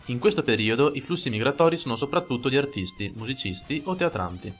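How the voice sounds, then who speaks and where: A man's voice: medium pitch, animated, quick pace. A man co-hosting a radio program, reading scripted historical narration in a formal, informative way. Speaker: radio host. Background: music under the voice.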